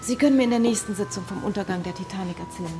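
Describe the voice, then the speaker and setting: An actress in a German radio drama playing a composed female psychotherapist who speaks in a measured, probing, sceptical way. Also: mid-range voice, loud music behind the voice, close to an everyday voice.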